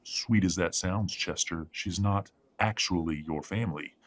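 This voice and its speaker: A man's voice: low-pitched, measured pace. A man playing a middle-aged, imperious werewolf patriarch in an audio drama, speaking formally and condescendingly as he controls his family. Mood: controlling, patronizing. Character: imperious wealthy werewolf patriarch, coldly polite, controlling father. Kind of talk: scripted audio drama acting.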